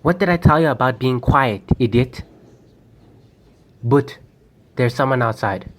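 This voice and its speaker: Young guy doing a bossy impatient bank robber for a radio play, threatening, normal pitch. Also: lively intonation, unhurried, close to an everyday voice. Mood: impatient threats. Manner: commanding, curt. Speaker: student actor. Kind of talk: amateur radio drama acting.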